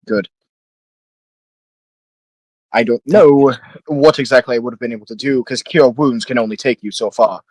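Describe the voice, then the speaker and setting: A man's voice: medium pitch, normal speed, long pauses, no room sound, rough recording. A man playing a tabletop roleplaying game, moving between in-character roleplay and casual chat. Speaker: voice actor.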